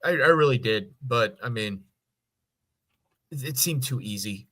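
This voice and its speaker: A man co-hosting a podcast in casual fan discussion, halting and repeating words as he thinks. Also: medium pitch, animated, a bit bright. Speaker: podcast co-host.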